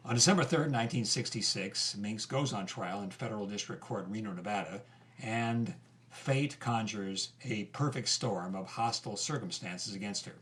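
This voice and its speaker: An actor playing an engaged investigator telling a story in a conversational, podcast-like narration. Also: male voice, on the low side, moderate pace, a bit bright, natural voice. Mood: intrigued.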